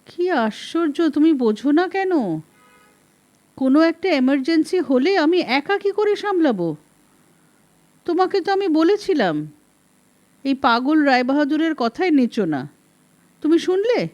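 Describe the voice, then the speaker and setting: A woman's voice: high-pitched, big pitch swings, a little slow, pauses often, darkish tone, highs cut off, voice pushed up. A woman voicing a scolding, exasperated middle-aged wife in a story, emphatic and reproachful. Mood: exasperated protest. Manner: emphatic, reproachful, rhetorical questioning.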